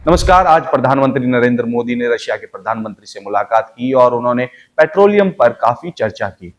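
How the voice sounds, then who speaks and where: A man's voice: mid-range voice, lively intonation, a little fast, lightly acted. Man putting on a formal news reader. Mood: brisk, formal. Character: formal television news reader making a bulletin announcement. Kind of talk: news reading demonstration.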